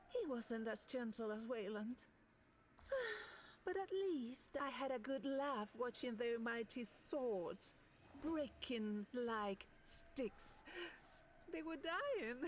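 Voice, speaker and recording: A woman playing a dangerous, cruel swan shapeshifter in a video game, speaking with slow, taunting scorn. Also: fairly high pitch, animated, slight background noise. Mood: gloating, mocking. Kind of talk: dramatic video game voice acting.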